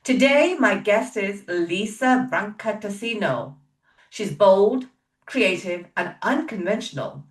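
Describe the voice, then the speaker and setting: A woman's voice: medium pitch, lively intonation, slow pace. A woman hosting a podcast, upbeat and emphatic in a motivational way. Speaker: podcast host. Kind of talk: scripted intro then casual interview chat. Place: home, in British weather. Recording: roomy echo, a bit far from the mic, faint music.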